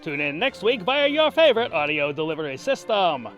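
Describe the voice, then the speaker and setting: A man's voice: fairly high pitch, big pitch swings, fairly quick, a bit bright, faint music behind. A man narrating a scripted comic fantasy story in a theatrical, wry manner.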